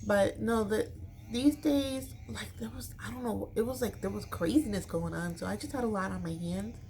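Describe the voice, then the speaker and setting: A woman's voice: mid-range voice, normal speed, a little mellow. A middle-aged American woman from Chicago chatting casually to her viewers in a rambling, informal, slangy way. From Chicago, United States. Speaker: vlogger. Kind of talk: casual chat to camera. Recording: noticeable background noise.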